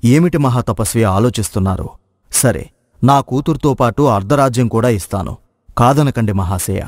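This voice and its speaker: A man's voice: mid-range voice, animated, moderate pace, darkish tone, no echo, clean studio sound. A man playing a generous, effusive middle-aged king in a moral folk tale, speaking in formal, regal phrases. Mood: grateful, admiring.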